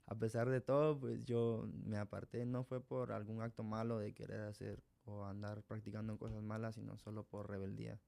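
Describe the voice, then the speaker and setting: A young man from Guatemala with a Guatemalan Spanish accent, a guest in a radio studio telling his life story in a halting way, with restarts and small laughs. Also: lowish voice, flat delivery, vocal fry, mellow. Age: young adult. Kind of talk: hesitant personal testimony.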